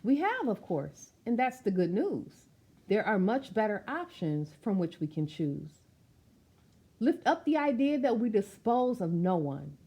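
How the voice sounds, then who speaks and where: A woman reads a picture book aloud for children in a soft-edged, mellow voice. Her pitch moves in lively swings, and she speaks straight to her young listeners in an expressive way. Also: a little slow, slightly rough. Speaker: storytime reader. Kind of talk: read-aloud of a rhyming children's picture book with chatty asides.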